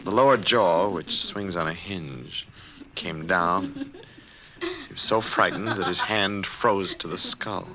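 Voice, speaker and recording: A man's voice: deep voice, slow pace, a crowd in the background, poor audio. A man playing a grave bearer of dreadful news in a 1940s radio drama. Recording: old radio recording, murmuring background.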